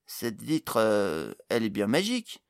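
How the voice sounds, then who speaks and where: A man's voice: lowish voice, huge pitch swings, measured pace, gravelly, smooth, no room sound, clean studio sound, heavily acted. A man voicing a young male gnome intern in an audiobook fantasy tale, hesitant at first, then emphatic and exclamatory. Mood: hesitant, knowing. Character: young male gnome, precarious intern, eager and indignant magic-device expert.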